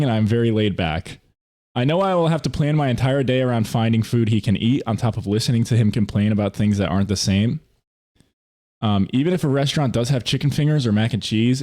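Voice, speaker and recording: A man's voice: fairly low pitch, a little mellow. A man in his twenties co-hosting a podcast, talking in a casual, slangy way with some swearing. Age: 20s. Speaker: podcast co-host. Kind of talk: casual podcast chat with friends.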